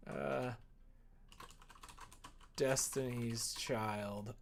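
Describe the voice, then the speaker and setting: Male streamer, unhurried and gravelly, casual thinking-aloud chat, keyboard clicks behind. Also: medium pitch, steady tone, a little hiss or hum. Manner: chatty, mutters to himself while working. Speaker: live streamer, host.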